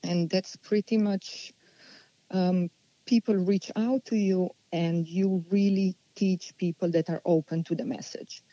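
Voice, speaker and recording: A woman, answering interview questions unhurriedly and pausing as she thinks. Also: on the low side, steady tone, dark tone, dry recording, highs cut off. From Slovenia. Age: 40s. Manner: unhurried, thoughtful, with many pauses and restarts. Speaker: guest.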